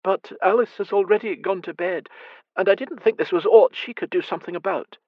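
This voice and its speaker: A man voicing a timid, fretful elderly blind noblewoman who stammers softly in an audiobook. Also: mid-range voice, fairly quick, dry recording, dull audio, voice pushed up, natural voice. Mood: worried. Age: older. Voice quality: stammering, soft and hesitant.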